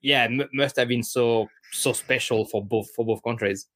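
Male podcast host, mid-pitched, halting interviewer who restarts his questions often. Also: fairly even intonation, fairly bright tone. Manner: halting, stumbling over his words. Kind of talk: casual interview.

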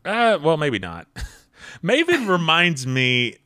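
A man's voice: a little high, slow pace, clear, resonant tone, no echo. A man of thirty co-hosting a podcast, chatting casually and animatedly about wrestling and laughing often. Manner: animated, laughs often, quotes people in voices. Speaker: podcast co-host.

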